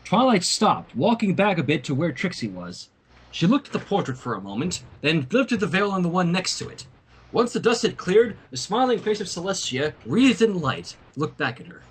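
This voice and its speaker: A man reading a story aloud in an expressive narrating style, with casual asides. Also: high voice, normal speed, slightly rough, poor audio. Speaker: fan fiction reader.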